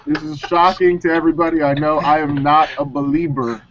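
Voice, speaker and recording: A man's voice: on the high side, level tone, a little fast, a crowd in the background. A man giving opinions in casual chat as a talk-show panelist. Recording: slightly dull, muffled audio.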